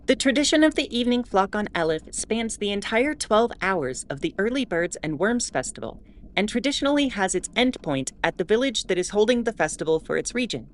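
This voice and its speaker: A woman playing a wry, mildly exasperated starship announcer in a scripted audio drama, explaining in a measured way with asides. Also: medium pitch, big pitch swings, lightly acted. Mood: explanatory. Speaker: host.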